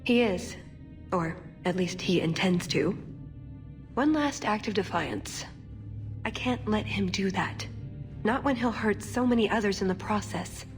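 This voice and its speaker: A woman's voice: medium pitch, unhurried, natural voice. Game heroine, female actor: idealistic elven agent, sincere, hesitant, mid-range voice, faint music behind. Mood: pleading, earnest. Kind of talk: video game cutscene acting.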